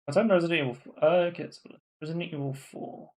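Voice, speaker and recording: A man's voice: a little high, fast talker. A man co-hosting a live gaming podcast, chatting casually and rambling through tech news with lots of fillers and restarts. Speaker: podcast co-host. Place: home room, live stream.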